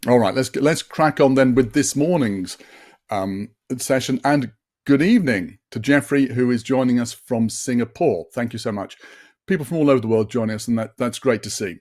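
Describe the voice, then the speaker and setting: A man in his sixties presents a live online session in a chatty, upbeat way. He stumbles and restarts often and fills gaps with little fillers. His voice sits a bit deeper than usual after a late night. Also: moderate pace, darkish tone.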